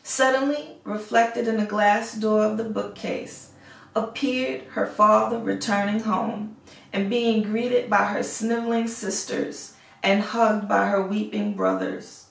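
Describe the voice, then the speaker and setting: A woman storyteller narrates a fairy tale at an unhurried pace, with an expressive delivery. Her voice has a crackly edge of fry, and it sounds roomy, picked up some way from the microphone. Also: mid-range voice. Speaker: storyteller reading aloud. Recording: roomy echo, distant microphone.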